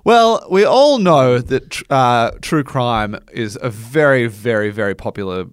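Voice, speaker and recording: A man hosting a casual podcast chat, joking and rushing through asides. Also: mid-range voice, expressive, clean tone. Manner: chatty, joking, speeds up in asides. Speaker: podcast host.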